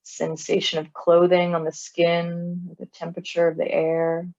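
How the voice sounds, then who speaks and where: A woman guides a body scan meditation in a low, soothing voice. Her pace is very slow, with long pauses between short phrases. The recording is somewhat dull and muffled. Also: completely flat delivery, gravelly, a little mellow. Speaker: meditation teacher.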